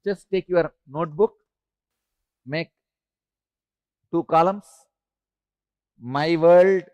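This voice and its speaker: Spiritual teacher, male, high-pitched, slow repeated instructions, emphatic rises. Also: a little mellow, clear, resonant tone. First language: Tamil.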